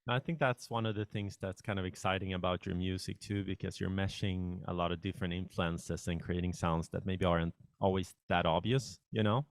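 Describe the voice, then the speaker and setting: A man's voice: low voice, steady tone, fairly quick, few pauses, dry recording. A man hosting a studio podcast, asking long, searching questions and giving short acknowledgments. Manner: brief backchannels, wordy searching questions. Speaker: podcast host. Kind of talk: interview questions.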